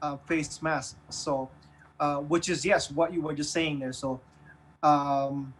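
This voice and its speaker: Male radio host, Filipino accent, voice on the higher side. Earnest, halting, conversational take on current affairs. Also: steady tone, slightly rough. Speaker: online radio show host. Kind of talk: casual news discussion.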